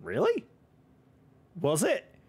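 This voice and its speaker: Hoarse British man streaming, higher voice. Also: huge pitch swings, slow pace, a little mellow. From Britain. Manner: chatty and effusive, thanking viewers. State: hoarse, voice still recovering. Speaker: live streamer. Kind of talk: casual live-stream chat with viewers.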